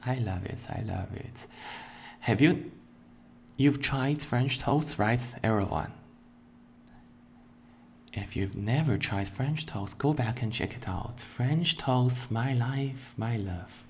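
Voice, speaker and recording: A young man introducing himself as a virtual streamer and answering questions in a slow, deliberate way, with playful bursts of excitement. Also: mid-range voice, slight background noise. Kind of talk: casual self-introduction and Q&A.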